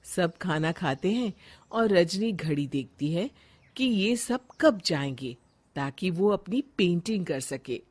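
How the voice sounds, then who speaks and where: A woman's voice: fairly low pitch, lively intonation, slow pace, darkish tone. A woman narrating a scripted story as its narrator.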